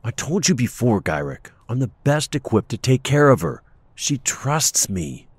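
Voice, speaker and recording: A man playing a suave, secretive inventor with a gravelly voice in an audio drama. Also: mid-range voice, sing-song, unhurried, dry recording, full character voice. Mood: tense, defiant. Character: suave, secretive male American inventor of Cheyenne roots.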